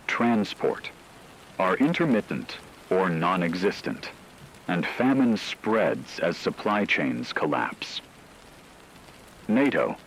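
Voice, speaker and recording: Guy acting as grave doomsday radio newsman, really low voice, formal and solemn, rain noise behind him. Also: fairly bright tone, full character voice, poor audio. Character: grave male radio news anchor reporting a world war. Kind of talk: scripted dramatic news narration.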